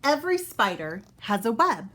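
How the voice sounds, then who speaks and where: A woman reading a children's book aloud as a storyteller, expressive and pausing to explain things for young listeners. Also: on the high side.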